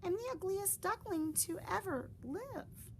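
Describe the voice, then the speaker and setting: A woman voicing a shy, sorrowful young male duckling in a read-aloud storybook, speaking softly and plaintively. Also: very high-pitched, expressive, measured pace, a little hiss or hum, voice pushed up, heavily acted. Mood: despairing.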